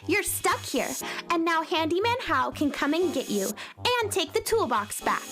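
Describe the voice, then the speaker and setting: A woman's voice: high-pitched, fairly bright tone. A woman playing an earnest, perky little girl space heroine in a children's radio comedy, exclaiming with indignation. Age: child figure.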